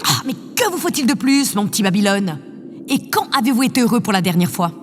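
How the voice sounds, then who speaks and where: A woman's voice: mid-range voice, sing-song, brisk, natural voice. A woman playing an imperious, self-absorbed female president in an audio drama, theatrical, commanding and condescending. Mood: patronising. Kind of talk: scripted audio drama acting. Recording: long hall reverb, faint noise. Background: faint ambient noise.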